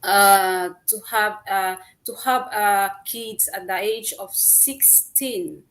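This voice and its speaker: A middle-aged Filipino-accented woman tells her life story as an interview guest. She speaks English as her second language, so she stops often, repeats words and laughs between phrases. Her voice sits in an ordinary middle pitch. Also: slow, fairly bright tone. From Philippines.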